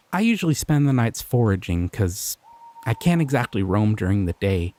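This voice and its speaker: A man voicing a gentle, curious male slime creature in an audio roleplay, talking sweetly and bashfully. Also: medium pitch, lightly acted.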